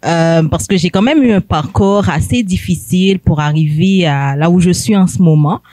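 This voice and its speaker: A woman's voice: on the low side, normal speed, dark tone, dry recording. Hesitant young woman from Haiti. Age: young adult. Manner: hesitant, many fillers. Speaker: guest. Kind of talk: hesitant personal testimony.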